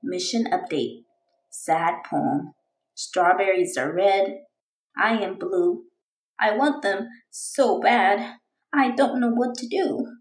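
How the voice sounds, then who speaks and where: A woman voicing an eager, determined young girl reading out her storybook diary in an earnest, expressive way. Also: mid-range voice, slow, echoey room, lightly acted, rough recording. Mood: sad.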